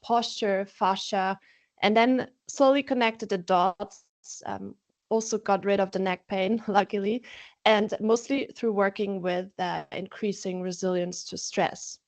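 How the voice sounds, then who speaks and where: A woman with a German accent presents on a video call. She sounds friendly and upbeat, and she pauses on small fillers as she speaks English as her second language. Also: mid-range voice, steady tone. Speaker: webinar presenter, stress coach. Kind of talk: webinar self-introduction. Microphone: video call from home.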